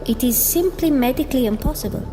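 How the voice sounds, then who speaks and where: A woman acting a deferential lady physician in a period audio drama. Her voice is fairly high, careful and placating, firmly rational in tone. Music plays underneath. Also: fast, close to an everyday voice. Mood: calm reassurance.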